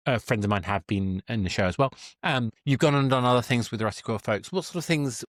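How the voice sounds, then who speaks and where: Male podcast host, middle pitch, loose conversational questioning, dry close mic. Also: quick pace, dry recording. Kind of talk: casual interview chat. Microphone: directional mic in a home room.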